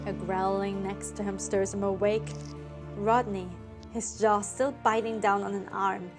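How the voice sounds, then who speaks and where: A woman storyteller reading a story aloud in an expressive, theatrical way. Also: medium pitch, measured pace, music in the background.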